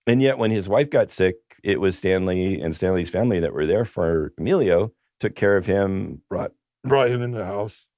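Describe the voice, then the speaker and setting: A man co-hosting a casual film podcast, rambling through a story with many restarts, his voice going hoarse. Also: sits low, animated, normal speed, darkish tone, no echo, studio quality. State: losing his voice. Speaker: podcast co-host.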